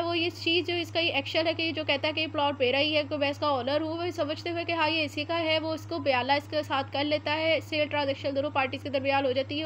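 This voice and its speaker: A woman with a Pakistani Urdu accent giving an explanatory law lecture, reading English statute text slowly word by word with frequent "uh" fillers. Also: high voice, fairly bright tone, slight background noise.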